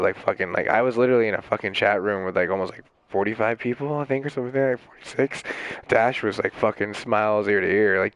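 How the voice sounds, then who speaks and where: A young man streaming games while sick, with a raspy, hoarse voice, rambling in casual chat full of fillers and swearing. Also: fairly low pitch, fairly bright tone, dry recording, rough recording. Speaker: game streamer.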